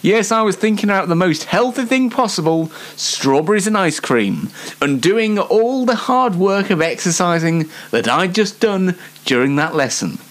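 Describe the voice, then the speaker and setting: A man's voice: high-pitched, big pitch swings, moderate pace. A young British man telling casual anecdotes as a show host, in a chatty, rambling and self-deprecating way. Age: young adult.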